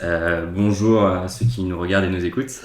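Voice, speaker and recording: A man's voice: low-pitched, steady tone, fairly quick. A man in his twenties, a guest in a casual chat, speaking hesitantly with many fillers. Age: 20s. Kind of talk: casual round-table chat. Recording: echoey room.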